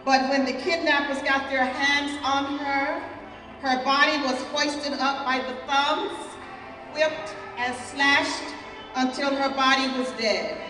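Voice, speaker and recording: A woman performs a scripted dramatic spoken-word monologue in a solemn, deliberate, emphatic manner. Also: high voice, fairly even intonation, over music. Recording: very echoey hall, distant microphone.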